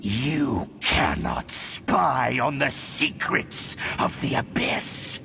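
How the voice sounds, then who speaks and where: Big evil herald monster for a game, super gravelly, slow and grand, really dramatic swings. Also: male voice, very animated, sharp tone, a little hiss or hum, exaggerated voice. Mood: menacing. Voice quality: deep, gravelly. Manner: grandiose, threatening, deliberate. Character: menacing male Abyss herald monster, haughty and merciless. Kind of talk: theatrical video game villain acting.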